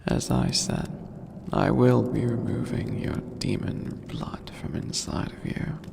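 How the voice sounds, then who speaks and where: Man voicing a slow, gravelly skeleton demon overlord. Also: mid-range voice, animated, darkish tone, in a whisper, exaggerated voice. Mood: lofty, brooding. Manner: slow, theatrical, formal, drawn-out phrasing. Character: skeleton demon overlord, imperious, bored, menacing yet playful. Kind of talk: ASMR fantasy roleplay.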